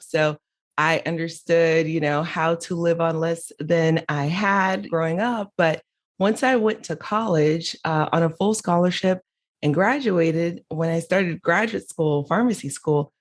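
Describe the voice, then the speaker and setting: A woman with a low voice, middle-aged, speaks as a guest on an interview podcast. She tells her own story candidly, with laughs here and there. She was born in Haiti and raised in Miami.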